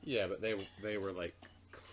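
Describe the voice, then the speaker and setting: A man's voice: lowish voice, steady tone, normal speed. A man casually narrating a game and bantering with a co-host. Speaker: let's player. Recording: game music behind the voice. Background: game music.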